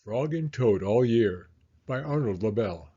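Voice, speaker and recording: A man's voice: mid-range voice, animated, normal speed, warm tone, slightly rough, dull audio. A man reading a children's storybook aloud as its narrator.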